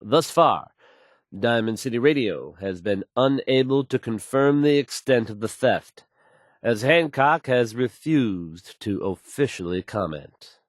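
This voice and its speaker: An actor playing a confident radio DJ in a game, announcing with polished, assured delivery. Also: male voice, medium pitch, animated, a little slow, no room sound, clean studio sound, natural voice. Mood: composed, serious. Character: confident, smooth male radio DJ and newsreader. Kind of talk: radio song introductions and news reading.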